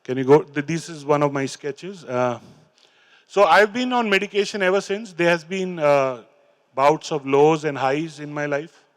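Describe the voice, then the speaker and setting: A middle-aged man with an Indian English accent, speaking on stage into a handheld mic, telling his recovery story in an earnest, halting way. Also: fairly high pitch, steady tone. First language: Hindi or Urdu. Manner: earnest, halting, with fillers and restarts. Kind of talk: personal talk on stage, reciting poems. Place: large stage in a hall. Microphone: handheld microphone.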